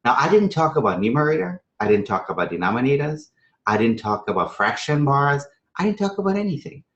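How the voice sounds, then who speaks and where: A man's voice: medium pitch, very animated, a little fast, muffled recording. A man from Trinidad and Venezuela teaching a math strategy session to teachers, conversational and emphatic, with rhetorical questions and frequent check-in tags. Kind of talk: live instructional presentation to teachers. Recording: echoey room sound.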